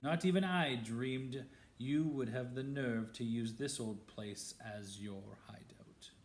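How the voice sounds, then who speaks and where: A man's voice: medium pitch, slow, a little hiss or hum. A man voicing a cold, spiteful male wizard professor who talks in a silky, sneering way. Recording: roomy echo.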